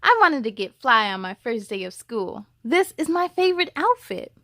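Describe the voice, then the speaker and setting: Woman voicing an excited, high-pitched sassy little schoolgirl. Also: normal speed, no room sound, clean studio sound, exaggerated voice. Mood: proud, chirpy. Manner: exclaiming, emphatic, playful slang. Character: excited sassy six-year-old schoolgirl, outgoing and bubbly.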